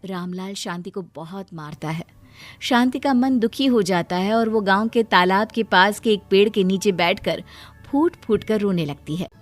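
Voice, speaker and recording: A woman's voice: mid-range voice, moderate pace, soft background music. A woman narrator reading a scripted children's cartoon story.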